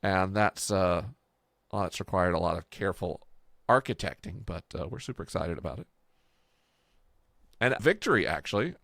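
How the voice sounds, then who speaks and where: Man with lively, swinging pitch. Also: normal speed, gravelly, pauses often, no room sound, clean studio sound. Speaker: podcast host and game creator. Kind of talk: casual conversational explanation.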